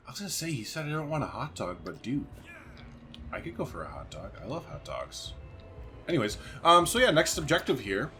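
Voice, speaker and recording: A man's voice: medium pitch, huge pitch swings, fairly quick, bright, forceful tone, soft background music. A man hosting a gaming video, giving casual, slangy let's play commentary and thinking aloud.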